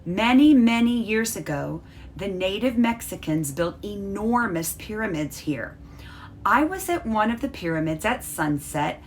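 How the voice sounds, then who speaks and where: A woman voicing an excited, friendly traveling bunny in a children's storybook read-aloud, with expressive delivery. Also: mid-range voice, a little hiss or hum. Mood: chatty, amazed.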